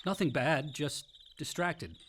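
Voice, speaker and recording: A man's voice: a little high, expressive, slow pace, fairly bright tone, slight background noise. A male actor playing a moody, self-deprecating young grad student son with wry delivery in a radio play. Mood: wry, downcast. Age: young adult. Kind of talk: naturalistic radio drama dialogue.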